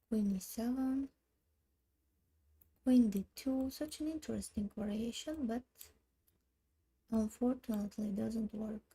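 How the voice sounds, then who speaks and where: A woman in her thirties from Armenia, who speaks Armenian natively, thinking aloud in a slow, halting way while she plays online chess. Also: a little high, warm tone, slightly rough, poor audio. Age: 30s. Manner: slow, halting, muttering moves half to herself. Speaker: chess streamer.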